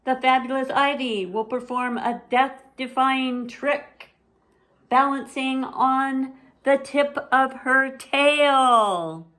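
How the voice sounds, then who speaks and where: A bossy big-sister storybook critter, played by a woman reading aloud, full of showy confidence. The voice is lifted high and loud, delivering lines like grand announcements. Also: takes it slowly. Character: bossy, showy big-sister animal with whiskers and fluffy tail. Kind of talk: children's storybook character voice.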